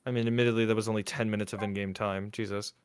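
A man's voice: fairly low pitch, level tone, racing, clear, resonant tone, no echo. A man chatting casually and swearing freely as he plays and reads out a game on a live stream. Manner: casual, profane. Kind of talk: gaming stream chat and line reading. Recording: game music behind voice. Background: game music.

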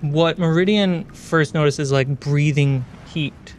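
Higher-voiced man narrating as game master. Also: slow pace, slight background noise. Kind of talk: narrating a tabletop fantasy game.

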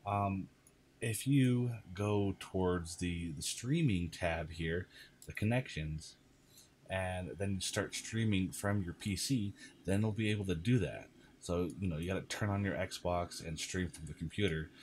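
A man giving a casual, informal software tutorial, chatting to viewers as he goes. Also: sits low, unhurried, slight background noise. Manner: informal, addresses viewers as guys. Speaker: video creator, tutorial host. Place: indoors at a computer.

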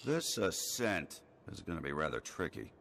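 Deep, stubborn toy space ranger. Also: male voice, very animated, moderate pace, exaggerated voice. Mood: wary. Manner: firm, declarative, dismissive. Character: proud, skeptical toy space ranger, stubborn and square-jawed. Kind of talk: animated video game dialogue. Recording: clear background noise and ambience.

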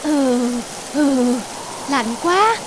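A woman voicing a lazy, whiny monkey in a children's fable cartoon, expressive and exclamatory. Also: high-pitched, extremely slow, very noisy, heavily acted. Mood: miserable, shivering. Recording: loud music and rain behind. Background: music and rain.

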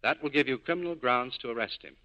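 An actor playing a cool, cynical nightclub owner in clipped, hard-boiled 1940s radio style. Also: male voice, medium pitch, fast, highs cut off, lightly acted. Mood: businesslike. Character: tough, cool cynical male nightclub owner scheming an escape. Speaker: lead actor in a radio drama.

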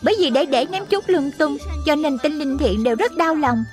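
A small, wise spirit companion from a children's cartoon. The voice is very high. The delivery is instructive and admonishing, like an older sibling, with light music underneath. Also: female voice, expressive, normal speed, darkish tone. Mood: explaining. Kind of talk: Vietnamese dubbed children's cartoon acting.

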